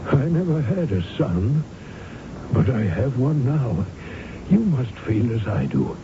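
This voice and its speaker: A man's voice: mid-range voice, sing-song, slow, background noise. An actor playing a fervent, imperious old father devoted to Renaissance art, declaiming grandly in a radio drama. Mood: rapt. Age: older. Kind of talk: 1970s radio drama acting. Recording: muffled old radio broadcast, music behind.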